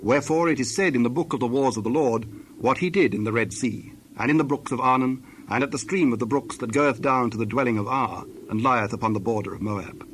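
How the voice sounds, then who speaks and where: A man narrating dramatized scripture from a script, in measured, solemn short phrases. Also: medium pitch, a bit bright, slight background noise, rough recording.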